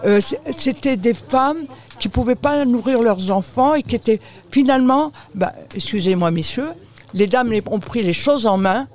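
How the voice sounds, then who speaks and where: A woman's voice: fairly high pitch, animated, normal speed, a little mellow. A woman from France, speaking spontaneously and emphatically as a charity representative, often addressing the listener directly. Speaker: aid charity representative, interview guest. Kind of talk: spontaneous interview explanation.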